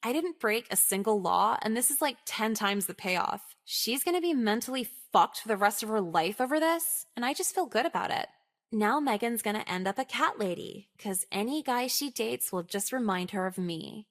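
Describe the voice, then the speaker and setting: A young woman with an American accent playing a cynical, self-absorbed high-school girl in a visual novel, talking in a casual, slangy, sardonic way. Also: lively intonation, normal speed, a bit bright. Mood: smug, dry. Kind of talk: visual novel voice acting, first-person monologue.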